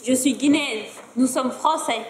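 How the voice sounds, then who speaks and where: Young woman doing a calm firm manager type, a bit high, big long echo in the hall. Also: normal speed, close to an everyday voice. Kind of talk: amateur stage acting. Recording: reverberant hall, distant mic.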